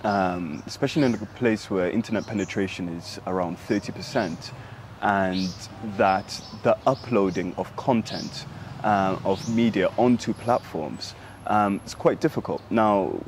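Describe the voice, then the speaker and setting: A young man from Sudan speaks in a low register, answering interview questions thoughtfully. His delivery halts often with fillers and restarts, and a faint hiss sits under the voice. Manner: thoughtful, halting, many fillers. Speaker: interviewee, human rights activist.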